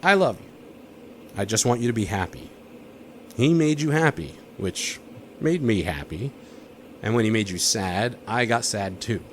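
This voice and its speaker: An actor playing a dim, sweet, hapless ex tagging along on a space mission in an audio drama, earnest and guileless. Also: male voice, fairly low pitch, lively intonation, unhurried, long pauses. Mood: tender. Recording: noisy background ambience.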